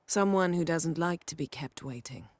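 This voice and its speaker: Game sorceress: lowish dry-studio voice, imperious, sardonic, formally measured delivery. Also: female voice, animated, dry recording. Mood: haughty, wry. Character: poised, sharp-tongued sorceress, imperious and dryly teasing. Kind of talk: video game cutscene acting.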